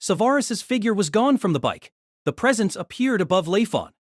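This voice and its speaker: A man's voice: high-pitched, big pitch swings, moderate pace, no room sound, studio quality. A man giving a dramatic scripted narration of an action fantasy story.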